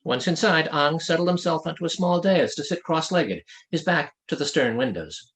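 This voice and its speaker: A man reading his own fantasy novel aloud as an author, in expressive scripted narration. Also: on the high side, normal speed.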